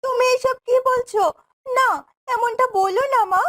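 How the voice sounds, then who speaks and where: A woman's voice: extremely high pitch, steady tone, measured pace, fairly bright tone. A woman playing a meek, kind, hard-done-by young stepdaughter in a fairy-tale cartoon, with a plaintive, dutiful way of speaking. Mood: distressed pleading.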